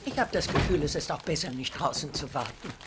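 A woman's voice: low-pitched, animated, a little fast. Older sitcom mother, chatty, wary, passive-aggressive, heavy noise on the recording. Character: fussy, guilt-tripping older mother, anxious and wary. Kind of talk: dubbed sitcom acting. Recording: very noisy TV sitcom soundtrack.

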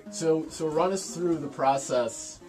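A man's voice: a little high, unhurried. An American man hosting a fishing show, asking casual questions with a dry, joking manner. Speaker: fishing show host interviewing. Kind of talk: casual interview chat. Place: small wooden ice fishing shack. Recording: music playing behind the voice. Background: guitar music.

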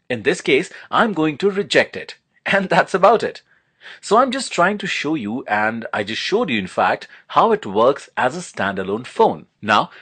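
Man, product reviewer, animated intonation, enthusiastic, promotional unboxing narration. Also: mid-range voice, husky.

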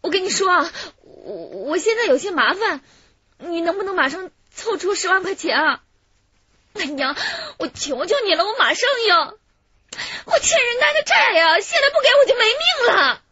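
A terrified woman held hostage in a radio drama. She pleads tearfully in a very high, animated voice. The recording is dry and a little dull. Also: moderate pace, dry recording, full character voice. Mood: desperate, tearful. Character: terrified female kidnap hostage, pleading and submissive. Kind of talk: Chinese radio crime drama acting.

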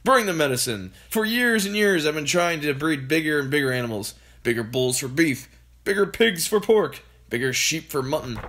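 A reader voicing a small, excitable farmer father who gets worked up and shouts his words. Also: medium pitch, fast. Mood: bossy shouting. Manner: exclaiming, frantic.

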